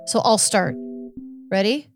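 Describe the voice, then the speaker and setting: A woman's voice: medium pitch, animated, measured pace, gravelly, music in the background. A woman co-hosting a podcast in casual, conversational chat. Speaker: podcast co-host.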